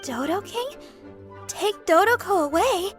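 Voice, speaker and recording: A sweet, gentle young woman from a video game. Her voice is very high and girlish. Light music plays behind her. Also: expressive, unhurried. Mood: sweet, concerned. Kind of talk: scripted video game dialogue.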